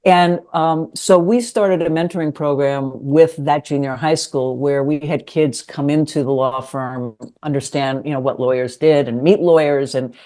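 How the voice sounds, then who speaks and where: An older woman from New York City, a lawyer and writer, answering interview questions in a thoughtful, hesitant way with many pauses. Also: low voice, steady tone, dark tone. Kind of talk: conversational interview.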